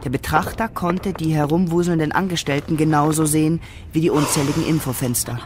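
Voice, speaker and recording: A man's voice: on the high side, steady tone, fairly quick, noisy background. A man reading scripted narration for a radio drama. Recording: studio narration over clear music.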